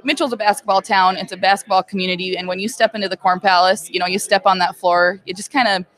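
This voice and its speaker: A woman's voice: medium pitch, fairly even intonation, fast. A woman in her thirties, a girls basketball head coach, answering interview questions in a plain-spoken way with many fillers. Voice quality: loud voice.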